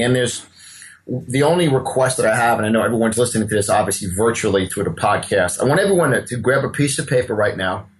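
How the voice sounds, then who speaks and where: A man's voice: medium pitch, a little hiss or hum, poor audio. Emphatic New York man in his fifties. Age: 50s. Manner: emphatic, preacher-like, repeats words and stammers a little. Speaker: business coach and guest. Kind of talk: motivational interview talk.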